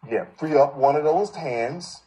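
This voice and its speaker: A man's voice: fairly high pitch, unhurried, dark tone. A man coaching voice actors in a group session, encouraging and directive, on dull lo-fi call audio. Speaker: voice acting coach.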